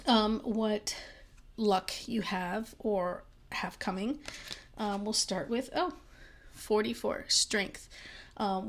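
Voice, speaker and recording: A young woman giving a pick-a-card tarot reading, talking in a rambling way with many fillers and restarts, her voice a little worn. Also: medium pitch. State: slightly losing her voice. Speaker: tarot reader.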